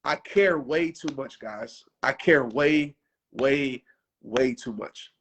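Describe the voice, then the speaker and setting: A man in his thirties speaks off the cuff to a livestream audience, pushing them hard in casual slang. His pitch sits in an ordinary middle range, and his voice sounds worn from talking so long. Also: slow pace, a bit bright. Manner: passionate, preachy, casual slang. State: losing his voice. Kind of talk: unscripted livestream pep talk.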